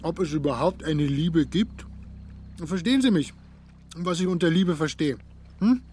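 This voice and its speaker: A man's voice: a little high, moderate pace, a little mellow, slight background noise, lightly acted. An actor playing a smug, self-absorbed young sportsman who chats away boastfully about himself in a German audio drama, with little hums between phrases. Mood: self-pitying musing.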